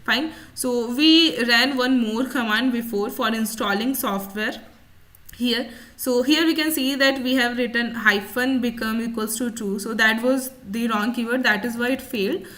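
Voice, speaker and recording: A woman instructor explaining a software demonstration step by step, often repeating her points as she goes. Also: on the high side, unhurried.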